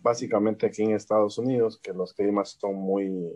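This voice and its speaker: A man's voice: lowish voice, steady tone, normal speed, a little mellow. A man from Guatemala asking a doctor a question on a video call, polite and hesitant, with many fillers.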